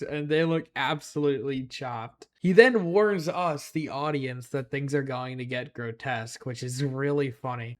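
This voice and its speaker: A young man giving informal video commentary as a host, with music behind him. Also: medium pitch. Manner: slangy, sweary.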